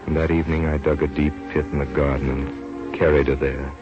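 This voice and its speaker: An actor playing an earnest, devoted doctor in an old-time radio drama. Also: male voice, a bass voice, level tone, fairly quick. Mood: grieving, subdued. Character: earnest, devoted male doctor running a field experiment. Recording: muffled vintage radio recording with music.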